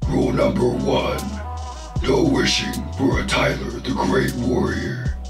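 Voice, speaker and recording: A man voicing a grumpy, booming male genie who lays down rules in a slow, theatrical voice with an echo. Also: lightly acted. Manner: grandly pronounces rules, later sings. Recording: echoey with loud music behind.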